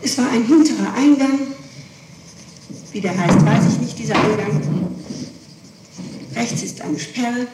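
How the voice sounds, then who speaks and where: A woman is being questioned on an old tape recording. She sounds far from the microphone in a very echoey room, with clear noise over her voice. She answers haltingly, correcting herself and insisting on details. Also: fairly high pitch. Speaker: person under police questioning.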